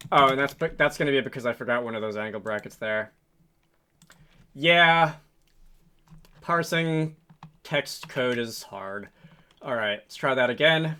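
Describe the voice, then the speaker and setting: A man hosting a casual programming tutorial, thinking aloud in a halting, hesitant way as he works through code on screen. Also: medium pitch, sharp tone, smooth. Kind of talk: casual screen-recorded coding walkthrough.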